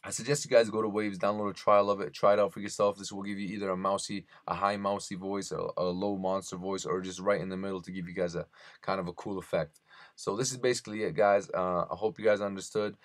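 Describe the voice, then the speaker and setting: Guy doing a casual software tutorial, lowish voice, dry sound, kinda halting and unscripted. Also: flat delivery, no room sound. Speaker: tutorial host. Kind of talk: informal software tutorial voice-over.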